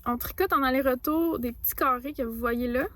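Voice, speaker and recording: High-voiced chatty Québécois woman vlogger. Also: fairly quick, a bit bright, no room sound. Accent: Québécois French. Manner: chatty and playful, lots of fillers. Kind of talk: casual vlog narration. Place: hotel room and shops on a trip.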